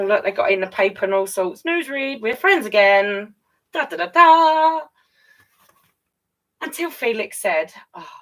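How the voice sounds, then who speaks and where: A woman's voice: medium pitch, fairly bright tone. A woman reading a children's picture book aloud expressively, with chatty asides straight to young listeners. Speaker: children's storyteller.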